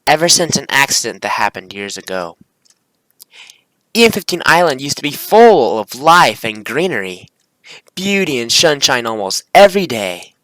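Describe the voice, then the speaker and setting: Bright-voiced young man, casual and rambling. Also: mid-range voice, sing-song, moderate pace, dry recording, poor audio. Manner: informal, rambling, self-correcting. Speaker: video creator. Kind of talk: casual screen-recording commentary, reading script aloud.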